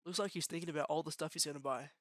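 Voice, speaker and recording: A man playing a matter-of-fact young student gofer in a gang, narrating in the first person in a deliberate, slangy way for an anime-style fan dub. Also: on the high side, fairly even intonation, a bit bright, dry recording, studio quality, lightly acted. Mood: resigned, wry. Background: music with guitar.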